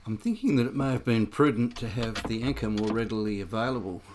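A man narrating his solo sailing trip from a small wooden boat outdoors, talking in an unhurried, reflective way with wry asides. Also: lowish voice, lively intonation, very noisy. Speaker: sailing vlogger. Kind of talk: casual first-person narration while sailing.

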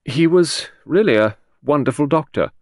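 Audiobook role: brisk, scornful young woman secretary, performed by a man, higher lilting delivery, exact and self-possessed. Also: very animated, dry recording, clean studio sound. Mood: scornful, guarded. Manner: crisp, precise, prompt, scornful. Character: cool, brusque, faintly scornful young female doctor's secretary. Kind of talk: audiobook character reading.

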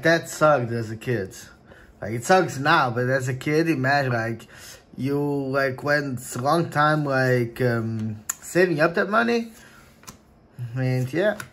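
A young man whose first language is Portuguese, chatting casually at home in his gaming room, with lots of filler words and sudden excited bursts. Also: on the high side. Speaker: card opening vlogger. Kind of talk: casual unboxing chat. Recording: faint crinkling and desk noise.

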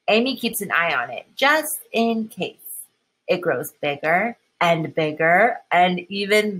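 Slow, bright-voiced woman reading a picture book. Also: fairly low pitch, husky, poor audio. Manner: expressive, deliberate, with emphasized key words. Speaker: storybook reader. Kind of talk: children's picture book read-aloud.

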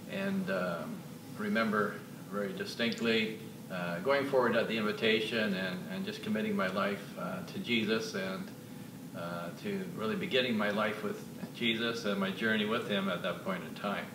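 A man in his sixties from Alberta, a missionary guest telling his story in a halting way full of filler sounds. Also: fairly low pitch. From Canada, Alberta. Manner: hesitant, many filler uhs. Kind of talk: casual interview testimony. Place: church lounge with sofas, indoors. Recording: roomy echo, distant mic, clear hiss.

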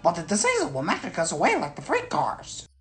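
A woman's voice: sits high, huge pitch swings, measured pace, vocal fry, a bit bright, faint music behind, heavily acted. A woman playing cheeky, squabbling young male twin tank engines in a children's story, with indignant, childlike protests. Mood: frightened. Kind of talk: children's storybook character acting.

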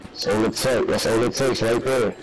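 Chat player, man, ordinary pitch, needling laughter. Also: fairly even intonation, normal speed, rough recording. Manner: laughing, repeating jeers. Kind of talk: trash talk.

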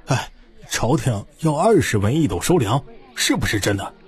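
A man's voice: mid-range voice, sing-song, normal speed, husky, soft background music. A man voicing an eager, flighty townsman in an audiobook. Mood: excited, eager. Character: eager, flighty male commoner in a crowd.

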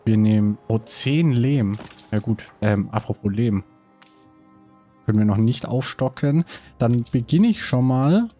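A man's voice: medium pitch, lively intonation, measured pace, mellow, soft background music. A man with a cold, casually commenting on his building game while thinking aloud with many hesitations. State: has a cold. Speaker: let's play host.

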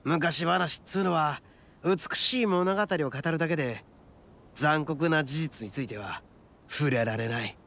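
A man playing a brash, big-hearted young oni gang boss in a Japanese anime game, with rough-edged, blunt street-tough slang. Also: fairly high pitch, measured pace, a bit bright. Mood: solemn.

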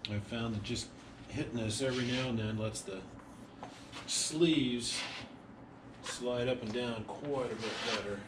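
A middle-aged man casually narrating boat maintenance in his garage and woodshop, relaxed and thinking aloud with a few pauses, picked up by a camera mic a little way off. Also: mid-range voice, noisy background. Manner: relaxed, explains as he works, some hesitations. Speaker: boat builder and vlogger.

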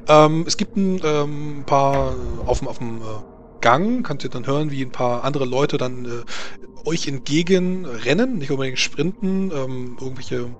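A man narrating a tabletop game as game master, halting as he searches for words. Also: mid-range voice.

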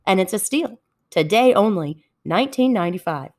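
A woman voicing a clever, mischievous male fox salesman with excitable, wheedling patter in a storybook. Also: mid-range voice, moderate pace, natural voice. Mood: persuasive.

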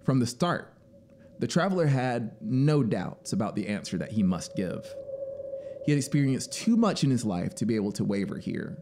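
Expressive male storyteller, theatrical delivery. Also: lowish voice, normal speed, gravelly, slight background noise. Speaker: narrator. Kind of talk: dramatic scripted story narration. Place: small home music studio, indoors. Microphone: close studio mic on boom arm. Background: ambient synthesizer soundscape.

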